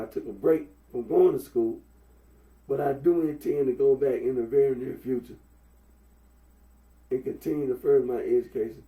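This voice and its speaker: A young man from with a head cold, talking through a face mask in a rambling, halting monologue full of fillers. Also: mid-range voice, warm tone. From Memphis, Tennessee. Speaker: vlogger. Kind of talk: casual Facebook Live monologue. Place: indoors at home. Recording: echoey room, mic at a distance.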